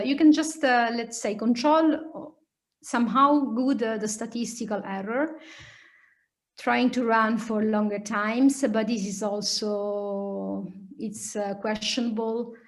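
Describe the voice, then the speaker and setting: A woman with an Italian accent giving an academic lecture and answering questions, explaining hesitantly with many pauses. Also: a little high. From Italy. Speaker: lecturer, nuclear physicist.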